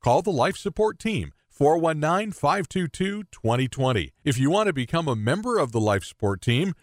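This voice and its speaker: A man's voice: a little high, very animated, moderate pace, dry recording, studio quality. An American man reading a scripted radio advert as an announcer.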